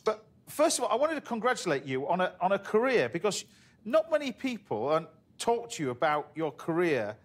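Lively, high-voiced cooking show host. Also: male voice, a little fast. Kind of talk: cooking while chatting. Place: TV studio kitchen set.

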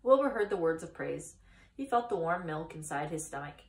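Expressive woman reading aloud, echoey room. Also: low voice, moderate pace. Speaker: storytime reader. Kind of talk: children's book read-aloud. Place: small indoor room, seated by a wall. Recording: echoey room, distant microphone.

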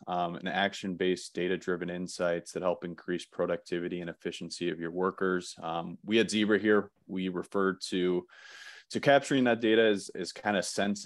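A man presenting slides in an online webinar, relaxed, with many "um" fillers and restarts. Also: low voice, no echo, studio quality.

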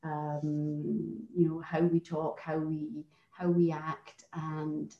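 A woman's voice: sits low, little pitch movement, slow pace, a little hiss or hum. A Scottish woman in her fifties introducing herself on a video call, chatty and hesitant with many fillers. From Scotland. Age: 50s. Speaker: curling organizer and life coach. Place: home office with shelves. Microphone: video call webcam. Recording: roomy, dull webcam audio.

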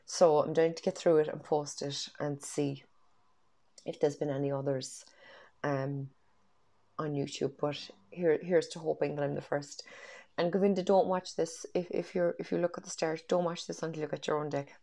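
A woman's voice: low-pitched, fairly even intonation, normal speed, gravelly, warm tone. An Irish woman in her thirties, congested from a cold, chatting enthusiastically in a home vlog through earphone mic in her bedroom, with plenty of ums. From Ireland. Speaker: tarot deck reviewer. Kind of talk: casual vlog chat. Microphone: wired earphone mic.

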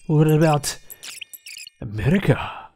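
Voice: medium pitch, animated, takes it slowly. A video game commentator giving casual gameplay commentary in a playful, exclamatory way, with rambling asides. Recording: game music behind voice. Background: video game music.

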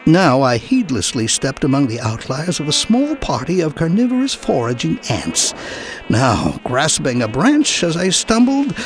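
A man narrating a first-person memoir as an audiobook, with deliberate, emphatic, dramatic storytelling. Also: fairly high pitch, huge pitch swings, poor audio. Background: music.

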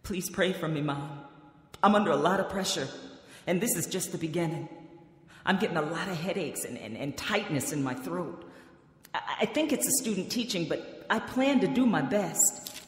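A woman's voice: fairly low pitch, lively intonation, moderate pace, husky. An actress playing an earnest, determined young woman who recounts her student years in a radio drama. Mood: strained, pleading. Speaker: narrator and lead actor. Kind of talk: radio drama acting and first-person narration. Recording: reverberant radio drama with music.

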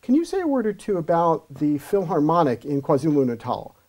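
A man's voice: a little high, very animated, a little fast, a little mellow. A middle-aged man hosting a studio interview show, inviting his guests to speak. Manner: friendly, inviting questions. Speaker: host.

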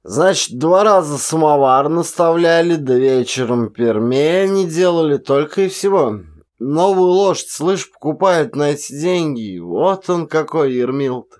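A hoarse old-time soldier deep in drink , played by a male narrator. He drawls and slurs his words, boastful and full of scorn, in a storybook style. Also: animated, measured pace, darkish tone.

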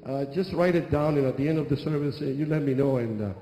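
Argentine-accented preacher in an echoey church hall. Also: male voice, mid-range voice, dark tone, a little hiss or hum. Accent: Argentine Spanish. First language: Spanish. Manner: halting, frequent restarts and fillers, humorous storytelling. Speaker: bishop. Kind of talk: sermon. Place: large church sanctuary on a stage. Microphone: handheld mic.

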